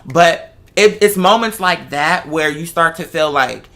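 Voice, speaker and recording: A woman's voice: deep voice, expressive, unhurried, a bit bright. An American woman chatting casually and telling stories in a sassy, emphatic, conversational way. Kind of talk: casual chat, animated storytelling.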